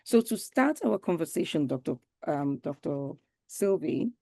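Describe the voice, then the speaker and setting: Woman moderator, very low voice, dry sound, formal panel introductions. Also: animated, measured pace, vocal fry, warm tone, dry recording. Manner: formal, welcoming host with "um" fillers. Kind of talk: moderating a conference session.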